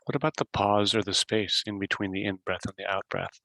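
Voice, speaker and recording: A man leading a guided meditation as host, giving simple instructions in short phrases with long pauses. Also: lowish voice, flat delivery, bright, forceful tone, no room sound.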